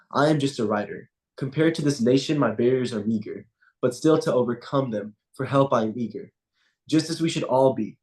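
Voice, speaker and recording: A young man, a student poet whose first language is Punjabi, reciting a spoken-word poem in a measured, rhythmic way over a video call, then chatting casually. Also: mid-range voice, a little mellow, low-quality recording.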